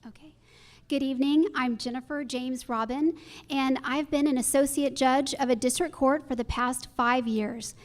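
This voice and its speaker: A woman's voice: high-pitched, steady tone, moderate pace. A woman judge giving a prepared campaign introduction as a candidate at a forum. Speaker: judicial candidate, associate judge.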